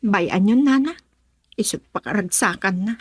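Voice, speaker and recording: A woman's voice: mid-range voice, lively intonation, normal speed, darkish tone, breathy edge, dry recording. A woman playing a headstrong, insistent young bride-to-be who defies her father in an Ilocano radio drama. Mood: defiant.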